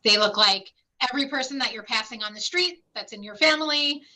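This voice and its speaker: A woman walks through a webinar presentation in a clear, explanatory way, her voice sitting fairly high. The tone is bright and forward. She speaks over a video call. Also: a little fast. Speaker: clinical site director presenting a webinar.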